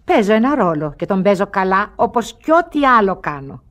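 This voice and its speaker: An actress playing a rich, bored and lonely old aunt in a radio play, wry and commanding. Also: female voice, mid-range voice, sing-song, natural voice. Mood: weary, reflective. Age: older. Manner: world-weary, grand. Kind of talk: theatrical radio play acting.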